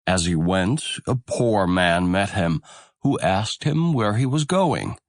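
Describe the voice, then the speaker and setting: A man narrating a fairy tale audiobook in a deliberate, expressive storytelling manner. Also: fairly low pitch, no echo, clean studio sound.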